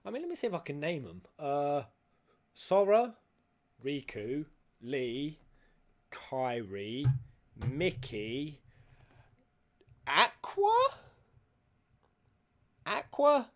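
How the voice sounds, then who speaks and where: Animated man reacting to a game. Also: fairly high pitch, extremely slow, long pauses. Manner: playful, animated, with crude humour. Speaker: gaming YouTuber. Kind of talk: casual reaction commentary on a video game.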